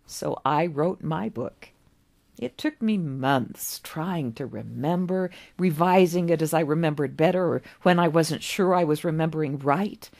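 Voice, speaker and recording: A woman voicing a gentle, wistful, very old man in a story, musing kindly with little rhetorical asides. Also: low voice, creaky, full character voice.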